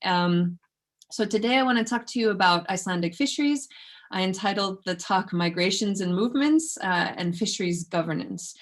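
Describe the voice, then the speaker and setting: A woman's voice: mid-range voice, expressive, moderate pace. A woman researcher giving an informal academic talk in a conversational, self-effacing way. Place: indoors in an office, online presentation.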